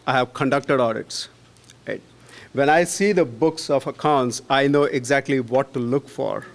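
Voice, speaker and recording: A man giving a persuasive candidate speech at a homeowners' meeting, earnestly making his case and often checking in with a quick "right?". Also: on the high side. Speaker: board candidate.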